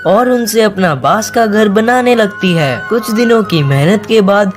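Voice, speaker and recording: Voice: mid-range voice, expressive, measured pace, soft background music. A narrator telling a scripted children's story.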